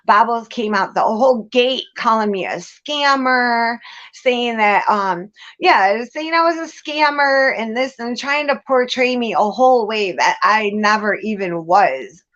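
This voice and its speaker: A woman with a slightly high voice talks casually and bluntly, stressing her points in informal, salty language. The audio is a bit dull, like an online call. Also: fairly bright tone. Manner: emphatic, blunt, informal with swearing. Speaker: guest on a livestream. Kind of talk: casual candid chat.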